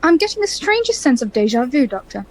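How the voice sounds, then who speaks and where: A woman playing a sensible, candid scientific colleague in an audio drama, frank and gently chiding. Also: high-pitched, sing-song, fast. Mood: polite, teasing.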